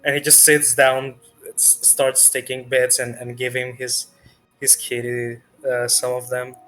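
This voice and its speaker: A man narrating a tabletop roleplaying game as game master, in a halting, hesitant way. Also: medium pitch, completely flat delivery, sharp tone, faint music behind, rough recording.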